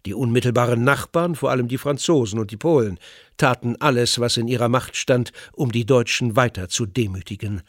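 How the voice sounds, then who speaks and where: A man narrating a scripted radio documentary in a measured, articulate, essayistic way. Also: medium pitch, no room sound, studio quality.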